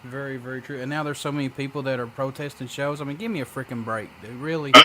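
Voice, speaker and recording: A man's voice: mid-range voice, fairly even intonation, fast talker, rough recording. Laid-back man in his thirties hosting. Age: 30s. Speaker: radio show host. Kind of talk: casual interview chat.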